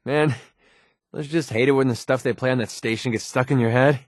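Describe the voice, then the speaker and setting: A man's voice: medium pitch, steady tone, moderate pace, dry recording, studio quality, lightly acted. A man voicing a young, wisecracking mercenary companion in a video game, with an American accent and an informal, sardonic delivery. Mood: upbeat, friendly.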